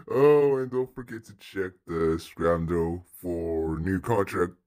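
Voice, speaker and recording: A woman puts on a taunting cartoon demon for a comic dub. The voice is very deep and very slow, swinging widely. It is bossy, gleeful and cackling. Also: animated, a little mellow, full character voice. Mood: gleeful, mocking.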